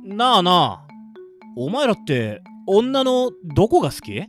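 A man playing a boisterous, teasing high school boy in an amateur radio drama. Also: huge pitch swings, unhurried, soft background music, heavily acted. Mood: cheeky. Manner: brash, teasing.

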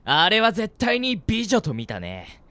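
A woman's voice: mid-range voice, wildly swooping pitch, measured pace, a bit bright, no echo. A woman playing a cheerfully foolish, girl-crazy teenage schoolboy in a radio drama, with breezy, boastful slang. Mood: indignant, excited. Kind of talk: Japanese amateur radio drama acting.

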